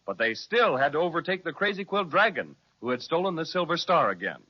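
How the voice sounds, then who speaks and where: A man's voice: a little high, sing-song, a little fast, lo-fi sound. A man narrating a scripted children's story recap, addressing young listeners as a storyteller.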